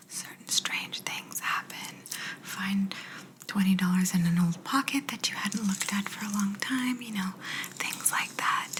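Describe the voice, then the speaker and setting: A woman voicing a chatty, smug alien examiner in an ASMR roleplay, in a soft breathy whisper, unhurried and a little condescending. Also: medium pitch, natural voice, rough recording. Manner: unhurried, fussy, mildly condescending asides. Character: smug, chatty female alien examiner, clinical yet reassuring. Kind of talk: ASMR personal-attention sci-fi roleplay.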